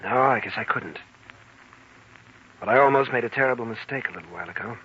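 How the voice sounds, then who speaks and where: An actor playing an earnest, conscience-stricken young doctor in a 1940s radio drama, speaking in a subdued way. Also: male voice, mid-range voice, quick pace, bright, forceful tone. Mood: guilty, subdued. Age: young adult. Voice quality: very husky, breathy. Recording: dull old radio recording.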